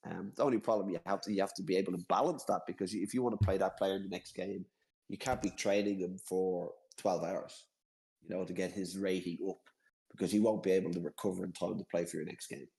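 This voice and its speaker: A man's voice: low-pitched, creaky, dark tone, rough recording. An Irish man in a casual chat, explaining a game in a rambling way and repeating himself often. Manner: rambling, repeats himself, quick to laugh at his slips. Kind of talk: casual video-call chat.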